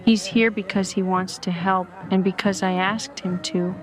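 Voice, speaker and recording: A woman playing a calm, wise and candid character in a scripted drama. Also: medium pitch, normal speed, natural voice. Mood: firm, persuasive. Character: calm, wise, candid Native Alaskan police chief's daughter. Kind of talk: scripted audio drama dialogue. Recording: loud guitar music under the voice.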